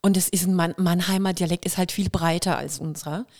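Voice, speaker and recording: A woman's voice: fairly low pitch, fairly quick. A woman from Kirrlach in Germany, an actress and singer, telling stories as a guest in a casual podcast interview, with a light hint of Kurpfalz dialect. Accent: light Kurpfalz dialect touches. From Germany, Kirrlach near Waghäusel. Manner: lively storyteller, imitates dialect sounds.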